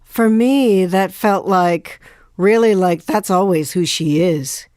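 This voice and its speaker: Female guest, slightly low voice, casual halting phrasing, dry studio-like sound. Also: darkish tone, no echo. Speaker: panel guest. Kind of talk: casual group conversation.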